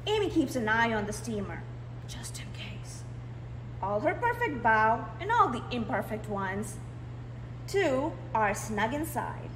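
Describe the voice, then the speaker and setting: A woman in her thirties reads a picture book aloud to young children as a library storyteller, stretching key words for expressive emphasis. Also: fairly high pitch, unhurried. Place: indoors in a library, seated. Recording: roomy echo, clear background noise.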